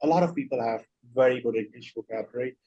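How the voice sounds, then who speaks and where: A man's voice: mid-range voice, fast talker, mellow, no echo. A man in a class asking the teacher a question, hesitant and full of fillers. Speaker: student. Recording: dry, dull lo-fi line.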